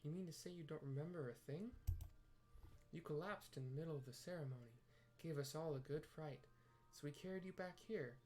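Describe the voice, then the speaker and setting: A man reading aloud the lines of a brisk, teasing, motherly woman healer in a fantasy game. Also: fairly high pitch, a little mellow, faint music behind, close to an everyday voice. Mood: teasing, caring.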